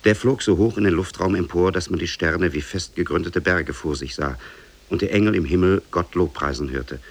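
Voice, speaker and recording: A grave storyteller with an extremely deep, creaky, husky voice. He narrates a storybook tale in the measured, formal style of 1950s radio.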